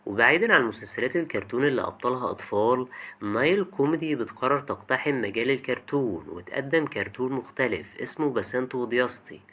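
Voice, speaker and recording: A man's voice: medium pitch, expressive, quick pace, sharp tone. A young man with an Egyptian Arabic accent narrates a scripted video essay in a colloquial, chatty way, addressing the listener directly.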